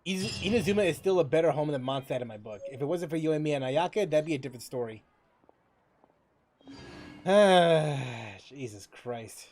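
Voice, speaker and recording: A man giving casual live commentary as a gaming streamer, musing informally in a halting way. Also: a little high, lively intonation, a little mellow, slight background noise.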